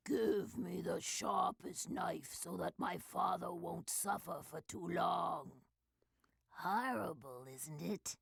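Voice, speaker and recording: Woman acting as mystical female sea spirit, slow dramatic storytelling, creaky, breathy drops, game fan dub. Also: lowish voice, no echo, heavily acted. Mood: grave. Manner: theatrical, drawn-out, swinging from whispers to loud swells.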